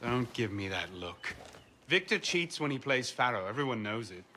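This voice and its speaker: An actor playing a cocky, glib young gambler in a video game, teasing and flippant. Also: male voice, medium pitch, sing-song, normal speed, sharp tone, slight background noise. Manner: teasing, flippant, smooth-talking. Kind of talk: video game cutscene acting.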